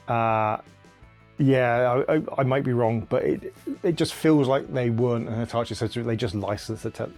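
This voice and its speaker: A man's voice: medium pitch, a little mellow. A man hosting his own video channel, explaining things in a casual, earnest way, thinking aloud and restarting his sentences often. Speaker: retro computer video host. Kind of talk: casual explainer talk to camera.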